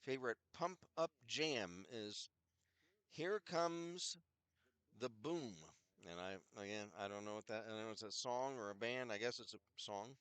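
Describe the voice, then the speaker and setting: Older male hockey announcer, head cold, rambling asides, smooth dry voice. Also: on the low side, a little slow, fairly bright tone, no echo. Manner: rambling, chatty, self-deprecating asides. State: head cold with scratchy throat. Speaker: play-by-play announcer. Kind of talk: casual hockey broadcast commentary.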